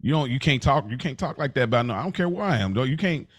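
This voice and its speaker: A man's voice: mid-range voice, animated, quick pace, breathy edge, no echo, clean studio sound. A man co-hosting a podcast in casual, slangy chat, circling back and repeating himself to make his point. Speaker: podcast co-host.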